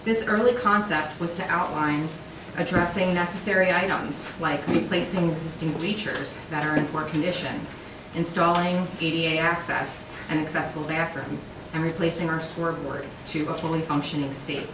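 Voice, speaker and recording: A woman giving a formal, measured project update at a school board meeting. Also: low voice, steady tone. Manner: measured, read from prepared notes. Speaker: project lead presenting an update. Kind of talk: formal presentation. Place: meeting room. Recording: echoey room from far off, noisy.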